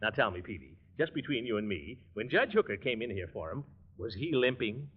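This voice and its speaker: A man's voice: medium pitch, very animated, fairly quick, husky. An actor playing a blustering, easily exasperated middle-aged man in a 1940s radio comedy. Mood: wheedling. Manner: blustery, chuckling, easily riled. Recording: dull 1940s radio recording.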